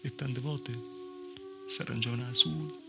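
A man's voice: mid-range voice, steady tone, moderate pace, vocal fry, music in the background, lightly acted. A man with a southern Italian accent playing a wry, plaintive village woman telling her story in a conversational theatrical monologue. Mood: wry, grim. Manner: conversational, punctuated with rhetorical "eh". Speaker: stage actor. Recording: live theatre recording with noise.

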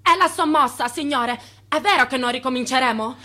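An actress in a radio drama playing an agitated, urgent aide to a financier, speaking in an insistent, repetitive way. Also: female voice, high voice, brisk, sharp tone.

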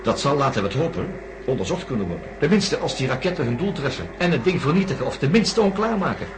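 A man's voice: medium pitch, sing-song, quick pace, close to an everyday voice. An actor playing a doctor in a radio drama, patiently laying out his theory in an earnest, explanatory way. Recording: noisy radio play recording.

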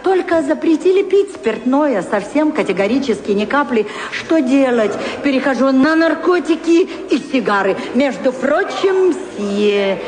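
An actress playing a brassy, wisecracking madame who hosts a seedy cabaret revue and banters with the audience in a theatrical, self-mocking way. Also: female voice, high-pitched, animated, a little slow, hardly any pauses, darkish tone. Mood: teasing, joking. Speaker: cabaret emcee. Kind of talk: theatrical radio drama comic monologue. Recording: background music and audience noise.

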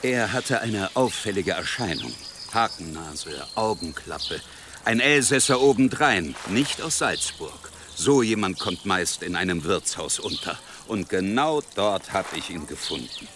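An actor playing a self-assured but deferential medieval swordsman in a German audio drama, measured, courteous and matter-of-fact. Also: deep voice, expressive, noisy background.

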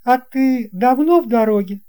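A man's voice: very high voice, moderate pace, warm tone, clean tone, voice pushed up. A man voicing a confident, friendly young boy pilot in a storybook tale, assured and lightly teasing.

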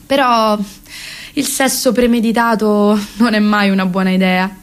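A young actress performing a comic radio monologue as a self-mocking, exasperated woman near thirty, conversational, ironic and halting. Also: mid-range voice, little pitch movement, clean tone, natural voice. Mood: wry confessional. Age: young adult.

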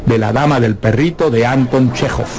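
A man's voice: medium pitch, moderate pace, noisy background. A man announcing a radio literature program in a scripted style. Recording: music behind the voice.

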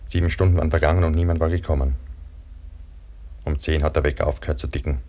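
An actor playing a frightened man buried alive under avalanche snow, halting and brooding, in a German radio play. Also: very deep voice, steady tone, warm tone. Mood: haunted, numb. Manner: halting, brooding inner narration breaking into desperate calls. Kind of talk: German radio play acting, monologue narration and dialogue.

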